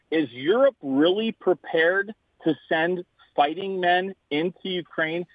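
A guest calls in, talking bluntly and joking with swagger. His voice sits a touch high and comes through a thin, tinny phone line. The sound is dry and close. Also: no echo. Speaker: guest, podcast host. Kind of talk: casual opinionated chat.

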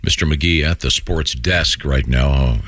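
A man co-hosting a radio talk show, cracking deadpan, teasing jokes. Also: a bass voice, unhurried, no room sound, studio quality. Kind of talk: casual radio banter.

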